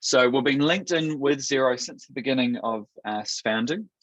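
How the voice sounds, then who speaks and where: A man from New Zealand with a Kiwi accent, hosting a product webinar in an upbeat, steady presenter manner with a few restarts. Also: mid-range voice, normal speed, no room sound. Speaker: webinar host. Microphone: video call. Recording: dull dry webinar audio.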